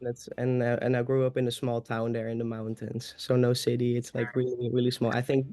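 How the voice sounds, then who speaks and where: A man's voice: mid-range voice, monotone, fairly quick, darkish tone, no echo, soft background music. Chatty young Austrian man, open and talkative. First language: German. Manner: open, chatty, with fillers and restarts. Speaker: guest. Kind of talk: casual conversation.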